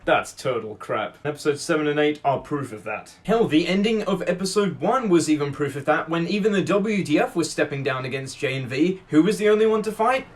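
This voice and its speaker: A man with a fairly high voice delivers opinionated fan commentary. He is emphatic and assertive, leaning on his points. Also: lively intonation, fairly quick, hardly any pauses.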